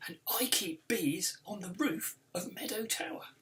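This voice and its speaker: A man's voice: high-pitched, expressive, a little slow, fairly bright tone, distant mic, voice pushed up. A man voicing an earnest young schoolboy hero in a children's storybook. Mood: determined.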